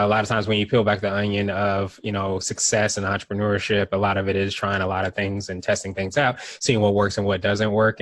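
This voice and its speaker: A man's voice: fairly low pitch, fairly bright tone, no echo. A man hosting a podcast, reading a guest's bio and asking friendly interview questions. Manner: friendly, reads guest bio, brief affirmations. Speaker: podcast host.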